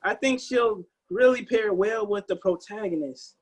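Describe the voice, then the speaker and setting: A man playing an anxious screenwriter in a table read, halting and hesitant as he speaks. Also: high-pitched. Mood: polite unease. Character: anxious screenwriter man pitching his action script to producers.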